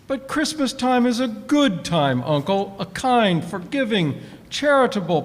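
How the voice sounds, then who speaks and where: An actor playing a cheerful, kind-hearted young nephew, earnest and buoyant in a 1940s style radio play. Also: male voice, sits high. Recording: live performance in a reverberant hall.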